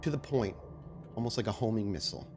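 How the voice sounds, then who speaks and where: A man improvising narration as game master of a tabletop game, with a halting delivery full of repeated words. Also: on the low side, over music. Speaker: game master of an actual play podcast.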